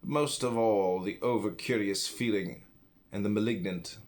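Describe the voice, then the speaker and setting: A man reading a philosophy book aloud in a solemn, deliberate way, phrase by phrase with pauses. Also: lowish voice. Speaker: audiobook reader.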